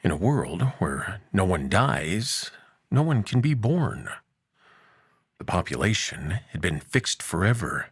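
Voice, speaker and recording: A man hosting a story show and narrating a science fiction tale, expressive, dropping to hushed low lines and lifting for emphasis. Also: breathy edge, dry recording, studio quality. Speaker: host and narrator.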